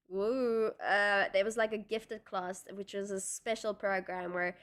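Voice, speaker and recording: A woman's voice: mid-range voice, fairly bright tone. A young woman from South Africa, a native Afrikaans speaker with a South African accent, chatting casually on a livestream, rambling with lots of fillers and restarts. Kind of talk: casual livestream chat reading viewer comments.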